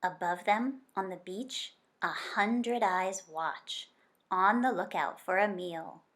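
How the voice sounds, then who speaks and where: A woman with a mid-range voice reads a picture book aloud in the manner of a teacher with young listeners. Her delivery is expressive and deliberate. She shapes phrases like a storyteller and stretches important words. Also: mid-range voice. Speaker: teacher reading aloud to children.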